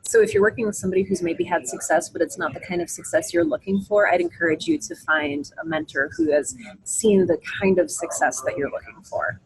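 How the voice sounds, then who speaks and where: A woman's voice: medium pitch, big pitch swings, a little fast, gravelly, poor audio. A woman giving conversational, reflective interview answers, explaining her thinking aloud. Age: adult. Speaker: interview guest. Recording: faint background ambient noise.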